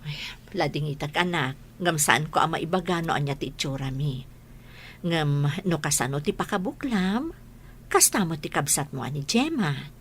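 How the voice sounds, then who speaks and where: A woman playing a gentle, patient mother in a radio drama, with an Ilocano accent. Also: deep voice, animated, normal speed, slight background noise. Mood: tender. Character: gentle blind boy's mother, patient and reassuring.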